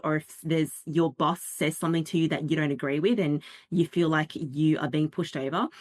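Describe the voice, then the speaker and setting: Chatty woman giving a dating workshop. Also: fairly low pitch. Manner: lively, chatty explaining with quick asides and acted-out quotes. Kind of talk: interactive workshop talk.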